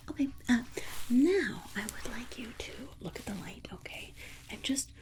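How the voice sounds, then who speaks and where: A woman playing a patronizing yet soothing alien scientist in an ASMR roleplay, softly reassuring her human subject. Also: a little high, animated, normal speed, natural voice. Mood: gently instructing. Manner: patronizing, soothing, hesitant murmured repetitions. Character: condescending, sweetly reassuring female alien scientist examining a human. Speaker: ASMR performer.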